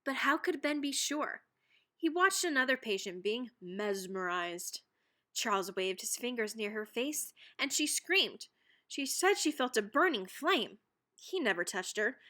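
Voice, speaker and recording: A woman reading a children's picture book aloud expressively, with dramatic, deliberate emphasis on key phrases. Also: on the high side, animated, sharp tone. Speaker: read-aloud narrator.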